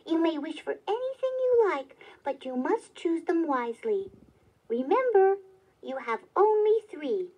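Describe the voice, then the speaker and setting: A woman's voice: very high voice, very animated, a little slow, highs cut off, heavily acted. A woman voicing a small, polite male elf in a children's storybook, pleading and solemn in manner. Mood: grateful, solemn.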